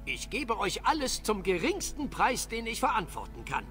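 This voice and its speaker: A man's voice: high-pitched, huge pitch swings, normal speed, bright, forceful tone, soft background music, heavily acted. An actor playing a humble, grateful male shopkeeper in a video game, eager to please.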